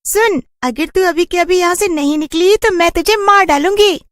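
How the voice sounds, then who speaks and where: Shrill, scolding village witch. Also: moderate pace, no room sound, clean studio sound. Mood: angry, determined. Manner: scolding, indignant exclamations. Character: cranky, territorial village witch, easily outraged.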